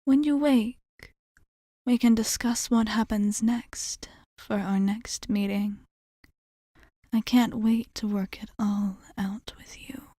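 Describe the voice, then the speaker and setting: Woman acting as a female vampire bartender, ASMR roleplay, breathy whispering, slightly high pitch, unhurried formal phrasing, courteous and teasing, close dry sound. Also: steady tone, dark tone, no room sound. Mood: tender, soothing. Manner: formal, unhurried wording with lingering pauses. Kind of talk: ASMR roleplay, softly spoken to the listener.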